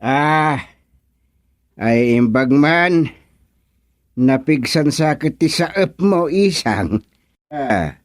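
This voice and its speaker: Slow-talking village chairman. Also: on the high side, lively intonation, no room sound, clean studio sound. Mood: patient. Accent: Filipino. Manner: hemming, drawn-out acknowledgements. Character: unhurried village official, patient but firm. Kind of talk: Filipino radio comedy drama acting.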